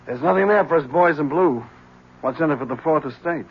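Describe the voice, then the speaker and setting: A man's voice: a little high, fairly quick, husky. An actor playing a wry, shrewd male police lieutenant in a 1970s radio drama. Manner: wry, needling. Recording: dull lo-fi 1970s radio broadcast.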